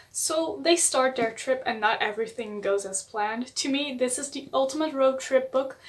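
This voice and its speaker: Young adult woman, casual enthusiastic book vlogger, slightly higher voice. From Netherlands. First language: Dutch. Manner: chatty and enthusiastic, laughs often.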